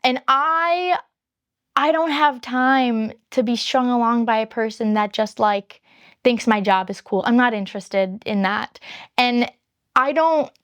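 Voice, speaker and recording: A woman YouTuber with a high-pitched voice, chatting candidly to her viewers and rambling through long explanations. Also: unhurried, fairly bright tone, clear, resonant tone, no room sound. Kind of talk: casual chat to camera.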